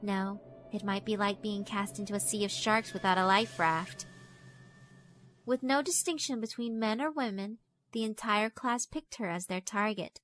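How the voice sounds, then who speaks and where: A woman's voice: mid-range voice, normal speed, a bit bright, slight background noise, natural voice. A woman voicing a sinister, cruelly amused female school spirit in a horror visual novel, theatrical and mock-polite. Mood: cold, mocking. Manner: theatrical, mock-polite, taunting rhetorical questions.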